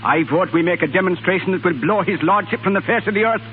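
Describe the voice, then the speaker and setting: An actor playing a vengeful, hot-headed Irish militant in a 1930s radio drama. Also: male voice, sits high, fast, narrow, tinny audio, close to an everyday voice. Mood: menacing, fervent. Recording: thin 1930s radio broadcast, faint noise.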